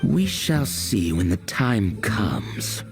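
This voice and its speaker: An actor playing a cold, menacing enforcer in a video game, speaking formally and with deliberate threat. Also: male voice, fairly low pitch, very animated, music in the background, heavily acted. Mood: cold menace. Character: cold, menacing male enforcer of a sinister faction.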